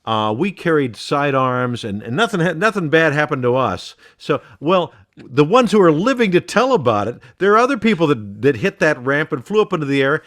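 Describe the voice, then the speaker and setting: A man's voice: fairly high pitch, very animated, normal speed, few pauses, a bit bright. A middle-aged man co-hosting a podcast, bantering satirically in a wry, mock-serious way. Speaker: podcast co-host.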